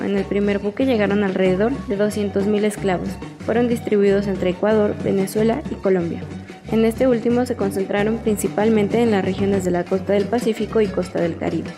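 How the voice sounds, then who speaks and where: A woman narrating a documentary in a measured, informative way. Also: medium pitch. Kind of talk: scripted narration. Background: background music.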